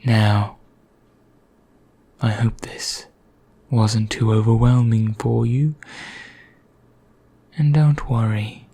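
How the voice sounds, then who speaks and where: A man playing a vain, condescending vampire in an ASMR roleplay, speaking formally with measured pauses in a husky, breathy voice that nears a whisper. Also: medium pitch, dark tone, no room sound. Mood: condescending, explanatory, then teasing menace. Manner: slow, measured, formal, pompous, with deliberate pauses. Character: vain, condescending male vampire elder, calmly sinister.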